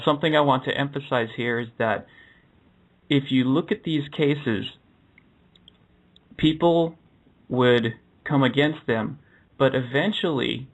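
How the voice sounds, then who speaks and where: A man's voice: mid-range voice, a bit bright. Earnest male radio host, lo-fi audio. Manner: earnest, with frequent uh's and self-corrections. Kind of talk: reading case summaries and interviewing. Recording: dull lo-fi broadcast audio.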